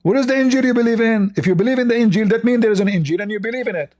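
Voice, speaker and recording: A man's voice: very high voice, racing, darkish tone. A man who is a non-native English speaker, debating religion in an argumentative, repetitive way and cutting in on the other speaker. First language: not English.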